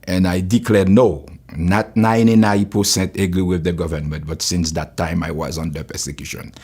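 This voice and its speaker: A man's voice: low voice, little pitch movement, moderate pace, darkish tone, husky. A Haitian-born man in his sixties, a pastor who speaks Creole first, telling his life story earnestly in plain, repetitive English with a Haitian accent. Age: 60s. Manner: earnest, simple phrasing, repeats himself. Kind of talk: personal testimony.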